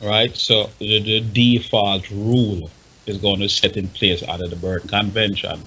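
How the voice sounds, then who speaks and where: A man's voice: lowish voice, level tone, unhurried, pushed and bright, slightly rough, poor audio. A man with a Jamaican accent on a talk panel, explaining and advising emphatically and repeating himself for stress. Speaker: radio panelist advising on music rights.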